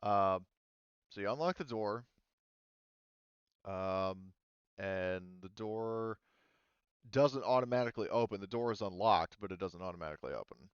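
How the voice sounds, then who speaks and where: Low, smooth-voiced man as game master. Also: no room sound, highs cut off. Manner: informal, halting explanations. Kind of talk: running a tabletop roleplaying game.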